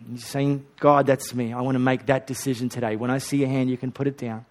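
A man's voice: mid-range voice, level tone, fairly quick. A male pastor preaching a sermon and leading an altar call in a church. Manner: earnest, leads a repeat-after-me prayer. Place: hot church auditorium.

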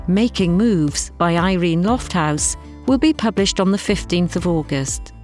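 A woman's voice: fairly low pitch, a little mellow. A woman presenting a fiction podcast with scripted introductions and announcements. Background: music.

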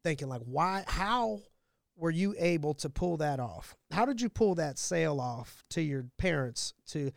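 A man's voice: a little high, lively intonation, unhurried, creaky, no echo, studio quality. A man hosting a podcast interview, talking in a casual, enthusiastic and affirming way. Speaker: podcast host.

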